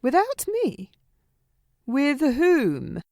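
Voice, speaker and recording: Woman acting a vain sassy woman, animated delivery. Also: mid-range voice, moderate pace, no room sound, clean studio sound. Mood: indignant.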